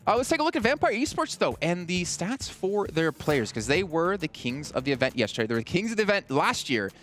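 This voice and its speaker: A man hosting an esports analyst desk, chatty and jokey. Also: sits high. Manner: lively, jokey, quick delivery.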